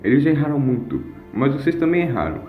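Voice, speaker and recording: Young girl, played by a male narrator: raised pitch, earnest and brave, echoing sound over music. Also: big pitch swings, fast, phone-quality sound. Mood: earnest pleading. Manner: raised, earnest, urgent delivery.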